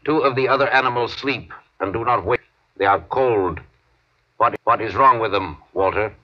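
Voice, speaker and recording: A man's voice: fairly low pitch, normal speed, close to an everyday voice. Man playing a stiff, literal alien, muffled recording. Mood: puzzled, literal. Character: young-at-7000 logical male alien zookeeper, literal, emotionless. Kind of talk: 1950s radio drama acting. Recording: muffled old radio drama recording.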